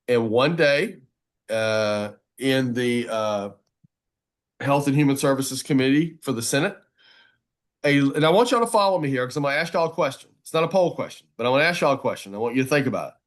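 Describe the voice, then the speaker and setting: A man giving a motivational advocacy talk, emphatic and folksy, often repeating phrases for weight. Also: mid-range voice. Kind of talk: motivational presentation to a group.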